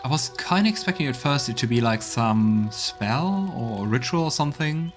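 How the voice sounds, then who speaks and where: A man's voice: mid-range voice, lively intonation, normal speed. A man doing casual let's play commentary, musing aloud in a laid-back way. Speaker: let's player. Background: game music.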